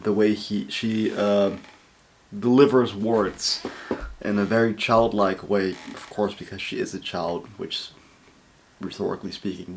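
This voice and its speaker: A man's voice: fairly low pitch, a little hiss or hum, rough recording. Chatty man reacting to videos. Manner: rambling, chatty, talks to viewers. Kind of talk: casual reaction commentary.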